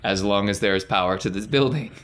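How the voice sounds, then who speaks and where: A man's voice: lowish voice, quick pace, fairly bright tone, slight background noise. A man working as game master, casually improvising scene narration for a tabletop game.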